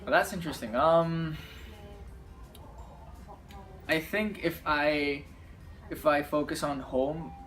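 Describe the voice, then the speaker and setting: A man's voice: mid-range voice, poor audio. A young man from Hong Kong giving thoughtful interview answers, hesitating with many ums.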